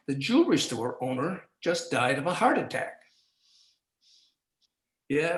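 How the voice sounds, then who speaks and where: An actor playing a smug, wisecracking 1940s police detective in a campy radio noir play. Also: male voice, fairly high pitch, fairly bright tone. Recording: roomy live stage sound.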